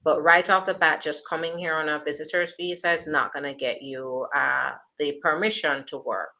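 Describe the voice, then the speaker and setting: A woman's voice: low-pitched, steady tone, moderate pace, pushed and bright. A woman with a Jamaican accent, an immigration attorney, chatting through viewer questions in a reassuring way with plenty of fillers. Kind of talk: live Q&A reading viewer questions.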